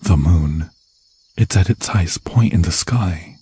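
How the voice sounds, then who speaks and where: A man voicing an obsessed, possessive demon lord in an ASMR horror roleplay, husky and breathy, often dropping into a whisper, with grandiose, seductive phrasing. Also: low-pitched, unhurried, mellow, dry recording, full character voice. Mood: commanding, ceremonial. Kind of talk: ASMR fantasy horror roleplay, theatrical monologue. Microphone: close, dry microphone.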